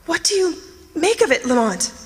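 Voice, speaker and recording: A woman's voice: very high-pitched, very animated, moderate pace, in a large hall. A woman playing a sympathetic, plucky lady companion to a sleuth in old-time radio drama. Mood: shaken.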